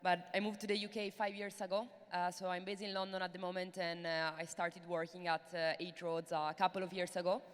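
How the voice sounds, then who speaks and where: A woman with an Italian accent talks on a panel into a handheld mic, her voice smooth and resonant. She pauses often with fillers. The big conference hall adds a long reverb. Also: fairly low pitch, little pitch movement. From Italy. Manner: hesitant, many fillers. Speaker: panelist investor. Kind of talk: panel discussion. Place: large conference hall stage.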